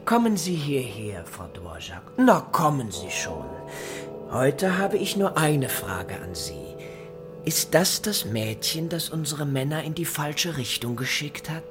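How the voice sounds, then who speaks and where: An actor playing a smooth, menacing Nazi interrogator in a German radio drama, mock-polite and condescending, with deliberate pauses and drops into whispering. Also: male voice, fairly high pitch, big pitch swings, over music. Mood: cold questioning.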